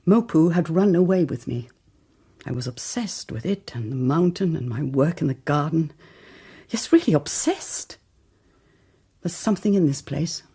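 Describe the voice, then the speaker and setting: A troubled nun with a low, dark and husky voice. She speaks hesitantly, like someone making a confession in a radio play. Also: expressive, moderate pace, full character voice. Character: troubled, earnest nun, a woman gardener.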